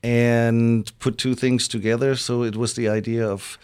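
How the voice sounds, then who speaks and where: An older man from Germany with a German accent, a company founder talking casually as an interview guest, halting with fillers and restarts. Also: medium pitch, flat delivery, a little mellow, smooth.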